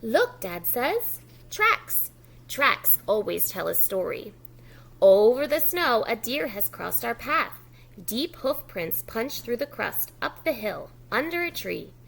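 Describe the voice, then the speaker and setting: A woman reads a picture book aloud as a lesson for small children. Her voice sits fairly high and moves with lively swings, often dropping into creaky fry. She explains simply and instructively, with faint noise behind her. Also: slow pace. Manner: instructional, addressing young children, repeating key words with prompts and praise. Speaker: preschool educator reading a picture book to children. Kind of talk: children's read-aloud lesson with call-and-response prompts.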